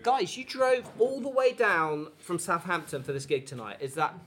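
A man's voice: high voice, big pitch swings, moderate pace, fairly bright tone, slight background noise. A man hosting a comedy podcast, chatting and teasing guests after a show. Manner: joking, teasing. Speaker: podcast host. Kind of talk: casual chat.

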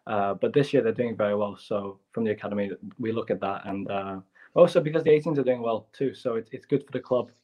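A young footballer from Hong Kong answering interview questions politely with plain answers and fillers. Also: male voice, lowish voice, quick pace, muffled recording. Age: young adult. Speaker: youth footballer, guest. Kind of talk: casual interview.

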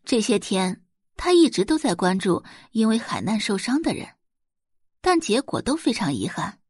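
Lady narrating a drama audiobook, really animated, bit breathy husky, super dry recording. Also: medium pitch, moderate pace, dry recording. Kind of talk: scripted dramatic novel narration.

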